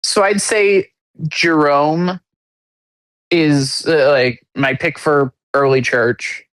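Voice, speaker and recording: A man co-hosting a podcast in casual conversation, halting and full of restarts, with blunt self-deprecating humor. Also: fairly high pitch, steady tone, fairly bright tone, clear, resonant tone, dry recording. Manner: halting, many restarts and fillers. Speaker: podcast co-host.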